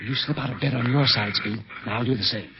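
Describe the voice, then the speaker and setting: A male actor playing a steady, protective secret police agent in a 1930s radio serial, explaining and giving orders with authority. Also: mid-range voice, fast, vocal fry, lightly acted. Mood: tense, commanding. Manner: commanding, explanatory. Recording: muffled 1930s radio recording.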